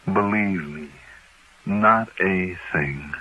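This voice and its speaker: An American actor playing a weary, preoccupied insurance investigator in a 1960s radio drama, on a muffled, hissy old recording. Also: male voice, deep voice, drawn-out words, slightly rough, no room sound, full character voice. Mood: relieved. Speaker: lead actor in radio detective series.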